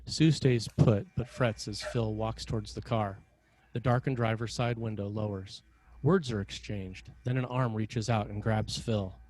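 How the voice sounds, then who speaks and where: Low-voiced man narrating a screenplay. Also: steady tone, unhurried, a little mellow. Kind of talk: scripted narration, reading stage directions.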